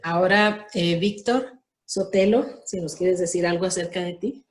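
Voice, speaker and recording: A woman's voice: on the low side, moderate pace. A woman leading an online class, politely calling on each participant and thanking them. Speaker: class leader, catechist.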